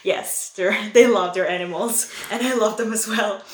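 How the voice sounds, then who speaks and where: A woman's voice: mid-range voice, normal speed, reverberant. A young woman from Italy with an Italian accent tinged American, answering interview questions casually with plenty of fillers. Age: young adult. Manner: chatty, many fillers like "um" and "like". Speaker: guest, former exchange student.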